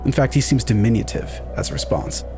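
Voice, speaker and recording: A man improvising descriptive tabletop game narration in deliberate, evocative phrases with pauses. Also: medium pitch, very animated. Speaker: tabletop game player, storyteller. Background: music.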